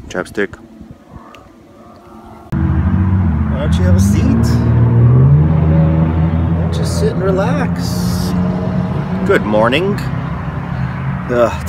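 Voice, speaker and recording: A man with a stuffy nose and sore throat, talking in a rambling, frank way in a casual vlog from a parked van. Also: lowish voice. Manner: rambling, frank, stop-start. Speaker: van life vlogger. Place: parked van outdoors, windy lot. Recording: outdoor wind and traffic noise.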